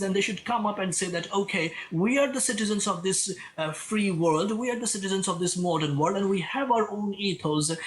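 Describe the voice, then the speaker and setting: Bangladeshi commentator guy on a news interview, repeats himself a lot, bit high-pitched. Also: slight background noise. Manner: repeats words, restarts often. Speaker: political commentator and lecturer. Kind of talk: remote interview guest.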